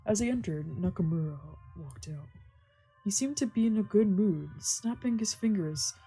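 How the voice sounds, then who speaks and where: A woman's voice: mid-range voice, lively intonation, normal speed, warm tone, slightly rough, faint music behind, rough recording. A woman streamer narrating a visual novel, slipping into casual asides. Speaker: streamer doing a let's play. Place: indoor stream with game music behind.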